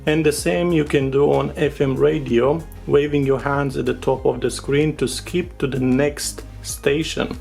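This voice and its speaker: A man, a native Romanian speaker, giving a casual, unscripted product overview in English as a tech reviewer, with halting, filler-filled delivery. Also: medium pitch, steady tone, vocal fry, darkish tone. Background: music with guitar behind the voice.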